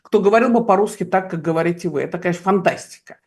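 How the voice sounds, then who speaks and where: A woman's voice: lowish voice, very fast, darkish tone. Woman hosting a political interview. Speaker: talk show host.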